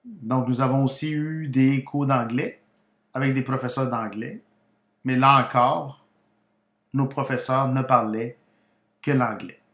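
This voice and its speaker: A man with Spanish as his first language narrates in an educational style, setting out each phrase deliberately. His voice sits at a medium pitch. The recording is roomy, with clear echo around the voice. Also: fairly even intonation, fairly bright tone. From Guatemala, moved to Quebec, Canada aged ten. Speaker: language teacher and channel host. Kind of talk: personal story told as a slow educational narration.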